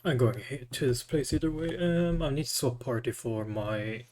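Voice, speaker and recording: A man's voice: mid-range voice, lively intonation, moderate pace, a little mellow. A man giving casual live commentary as he streams a video game.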